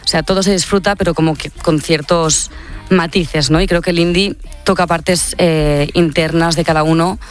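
A woman's voice: lowish voice, fairly even intonation, moderate pace, faint music behind. A young woman from Catalonia, a singer chatting as a radio guest, upbeat and talkative with many fillers. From Catalonia, Spain. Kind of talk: casual radio interview.

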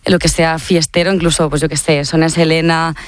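A woman's voice: sits low, little pitch movement, fairly quick, faint music behind. Upbeat young woman from Catalonia. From Catalonia, Spain. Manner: chatty, upbeat, many fillers like "no?". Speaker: singer, interview guest. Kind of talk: casual radio interview.

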